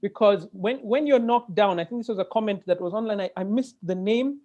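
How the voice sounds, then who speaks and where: A man with a Kenyan accent moderating a webinar conversationally, animated and given to digressions and interjections. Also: high voice, fairly quick. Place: indoor room, video call. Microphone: earphone mic on video call. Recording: dull, lo-fi video-call audio.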